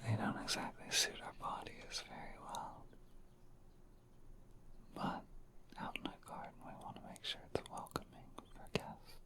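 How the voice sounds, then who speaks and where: A man voicing a gentle, courteous spider prince in a soft, breathy, reassuring whisper for an ASMR roleplay. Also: close to an everyday voice. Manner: soft, unhurried, reassuring, hesitant pauses.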